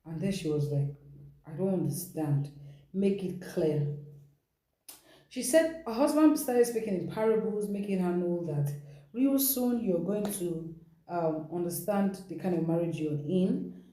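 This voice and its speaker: Dark-toned Nigerian woman telling a dramatic story. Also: fairly low pitch, lively intonation, measured pace. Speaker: storytelling host. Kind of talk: dramatic storytelling, retelling someone's account. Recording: echoey room.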